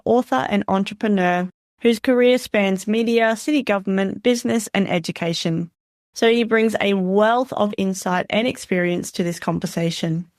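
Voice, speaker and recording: A woman's voice: mid-range voice, darkish tone, dry recording, studio quality. A woman hosting a podcast, introducing a guest and asking questions in a friendly, upbeat way. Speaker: podcast host.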